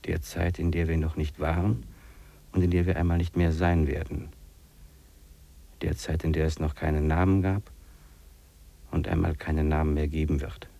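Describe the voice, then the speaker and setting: Male hiker, low pitched, husky, gravelly, weary, dreamy, reflective, 1950s radio drama. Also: little pitch movement, normal speed, long pauses, dark tone, heavily acted. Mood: solemn, reflective. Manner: reflective, poetic.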